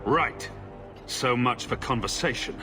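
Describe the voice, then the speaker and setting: A man's voice: medium pitch, measured pace, over music, close to an everyday voice. A man playing a resolute, direct hero in a fantasy video game, questioning firmly. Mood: demanding. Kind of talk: video game cutscene acting.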